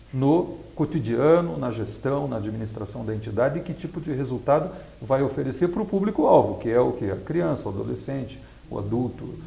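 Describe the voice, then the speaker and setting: Man, Brazilian accent, gravelly creak, measured didactic interview answers, echoey room. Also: medium pitch, darkish tone. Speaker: accountant and project specialist being interviewed. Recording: echoey, roomy sound.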